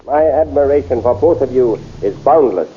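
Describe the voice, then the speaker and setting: An actor playing a stern, proud exiled prince in a 1930s radio serial, with commanding, formal speech, in a dull lo-fi old recording. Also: male voice, mid-range voice, lively intonation, normal speed, warm tone, breathy edge.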